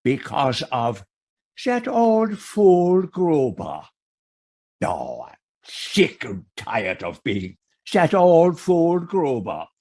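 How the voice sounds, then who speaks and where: An actor playing an aggrieved old widowed farmer with a German accent and a husky, halting delivery in a Western radio drama. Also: male voice, on the high side, huge pitch swings, warm tone, full character voice. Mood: bitter, gloating.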